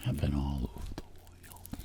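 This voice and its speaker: A man voicing a boastful, roguish tingle-dealing world traveler in a whispered ASMR roleplay, telling rambling deadpan tales in a breathy, gravelly voice. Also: a bass voice, normal speed, slight background noise. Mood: boastful, playful.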